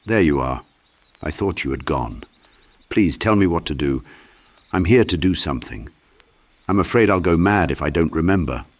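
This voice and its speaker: Lost, half-mad storybook knight acted by a male narrator: low voice, halting, disoriented, earnest. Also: animated. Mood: lost, pleading. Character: confused, forgetful, half-mad male knight on a desperate quest.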